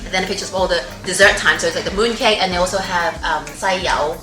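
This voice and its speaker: A woman's voice: fairly low pitch, quick pace. A woman from Hong Kong chatting casually and animatedly as an interview guest. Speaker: interview guest, audio drama writer. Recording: roomy echo, music behind.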